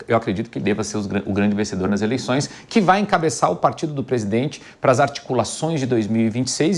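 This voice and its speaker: A man with a Brazilian accent giving live political analysis as a commentator on a panel. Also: medium pitch.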